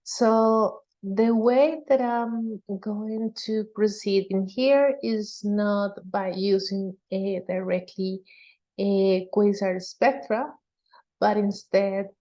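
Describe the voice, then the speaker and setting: A woman researcher giving an explanatory scientific talk in a halting manner. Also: medium pitch, dark tone. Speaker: researcher presenting at a conference.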